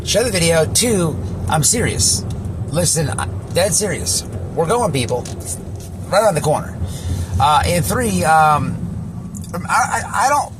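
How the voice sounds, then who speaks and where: A man hosting casual, rambling online commentary, halting and repeating words, with slangy, sweary phrasing. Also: a little high, background noise. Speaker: YouTube commentary host.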